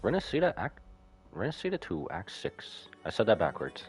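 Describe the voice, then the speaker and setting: A man's voice: lowish voice, huge pitch swings, moderate pace, soft background music. A man doing casual live game commentary as a streamer.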